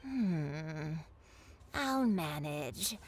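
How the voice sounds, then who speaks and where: Elderly game shopkeeper granny: unhurried, reluctant, lively intonation, faint music behind. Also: female voice, low-pitched, a little hiss or hum, full character voice. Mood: businesslike, reluctant. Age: older. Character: weary, businesslike elderly granny who crafts kites. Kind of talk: video game character dialogue.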